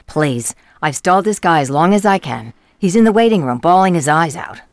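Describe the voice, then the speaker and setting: An actress playing a businesslike, easily exasperated coroner investigator in a radio mystery, with a matter-of-fact delivery. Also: female voice, on the low side, expressive, fairly quick.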